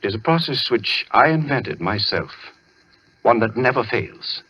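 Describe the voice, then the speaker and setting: A man playing a sinister asylum doctor, polite and deliberate with faint menace, in old-time radio thriller style. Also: medium pitch, big pitch swings, breathy edge. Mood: quietly menacing. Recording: muffled vintage radio recording.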